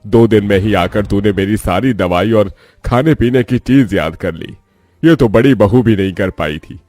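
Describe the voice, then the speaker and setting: A man's voice: fairly low pitch, normal speed, darkish tone, dry recording, lightly acted. A man voicing an approving, protective elderly father-in-law in a family story, plain-spoken and reassuring. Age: older.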